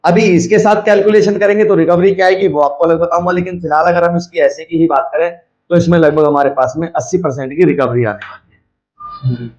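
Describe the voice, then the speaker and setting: A man's voice: a little high, fairly even intonation, a little fast, darkish tone, muffled recording. A man giving a hands-on demonstration, explaining step by step and repeating his points as he instructs. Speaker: video host, scrap recycler.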